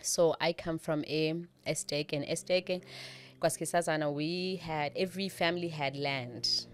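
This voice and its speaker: Laughing South African woman, low voice. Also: measured pace. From Eastern Cape, South Africa. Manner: laughs often, warm storytelling with hesitations. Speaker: guest. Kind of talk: casual conversation.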